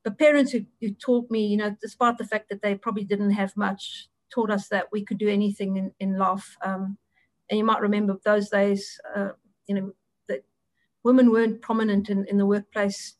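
A woman's voice: medium pitch, little pitch movement, moderate pace, no echo, low-quality recording. A middle-aged woman from South Africa with a South African accent, an Afrikaans native speaker, answering interview questions with many ums and restarts. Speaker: interview guest, audit partner.

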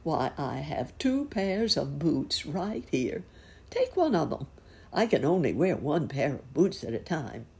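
A gentle, well-mannered old bunny gentleman from a bedtime storybook, as a woman puts him on. The voice is low and raspy with some gravel. The delivery is polite and kindly. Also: very animated, a little slow, heavily acted.

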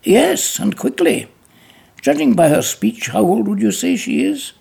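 A man voicing a calm Victorian surgeon who lectures in measured, clinical terms. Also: sits high, vocal fry, exaggerated voice. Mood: dry, amused. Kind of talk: audiobook character voice.

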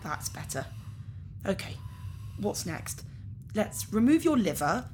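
A woman's voice: medium pitch, very animated, slow pace, a little mellow, a little hiss or hum. A woman playing a casual, apologetic organ harvester in a science fiction audio drama, talking in an awkward, nonchalant way. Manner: nonchalant, hesitant, politely awkward.

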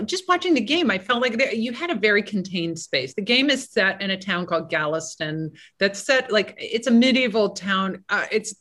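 A woman's voice: medium pitch, fairly bright tone. A woman screenwriter with a big voice, chatting casually as a podcast guest, self-deprecating and full of restarts.

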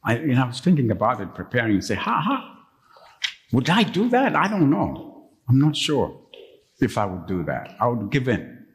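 A middle-aged man from Uganda preaching a sermon as pastor, telling stories and jumping up to high, emphatic peaks when stirred. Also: huge pitch swings, moderate pace. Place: church. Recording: long reverb of a church.

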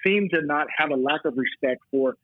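A man talking about policing as a podcast guest, telling stories fast and emphatically with quoted exchanges. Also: fairly high pitch, no echo. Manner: fast, emphatic storytelling with quoted back-and-forth. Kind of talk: casual podcast chat. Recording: tinny phone-line audio.

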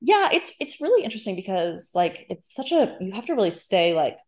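A woman speaking as a guest author in a casual interview, thoughtful and halting, often correcting herself mid-sentence. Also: mid-range voice, very animated, darkish tone. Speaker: guest author, short story writer. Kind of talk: casual literary interview chat.

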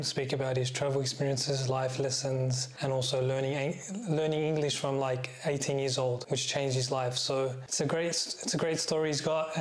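A man hosting a podcast in a casual, conversational way. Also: mid-range voice. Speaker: podcast host. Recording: clear background noise and ambience.

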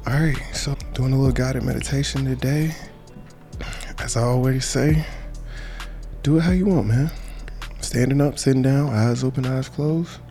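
A man's voice: mid-range voice, moderate pace, warm tone. A man guiding a meditation with informal prayer in a casual, colloquial, encouraging way. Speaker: meditation guide. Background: music behind the voice.

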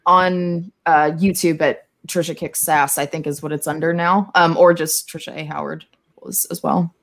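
A woman's voice: deep voice, fairly even intonation, normal speed. A woman chatting casually as a podcast guest, speaking in a candid, earnest way. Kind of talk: casual chat.

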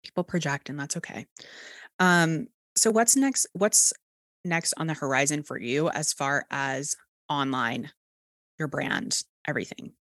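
A woman's voice: deep voice, unhurried, a bit bright, no room sound, studio quality. A woman with a Texas accent hosting an interview, talking candidly and encouragingly, with the odd casual swear. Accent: Texan. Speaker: podcast host.

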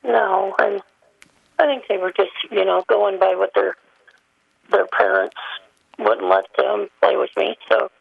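Female caller, scratchy gravelly voice, fairly high, tinny phone line. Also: fairly even intonation, measured pace, long pauses, no room sound. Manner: soft-spoken, brief answers. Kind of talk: call-in conversation. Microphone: telephone.

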